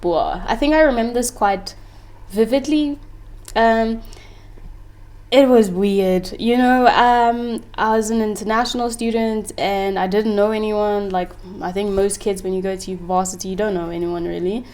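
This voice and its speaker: A woman's voice: medium pitch, measured pace, darkish tone. A young woman from Congo whose first language is French, speaking candidly as an interview guest and laughing often. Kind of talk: casual interview chat.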